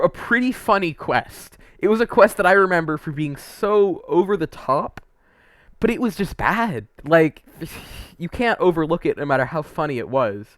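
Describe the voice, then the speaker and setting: A man's voice: a little high, a bit bright, no room sound, studio quality. A man giving casual, opinionated game review commentary, informal and emphatic, stretching words for emphasis.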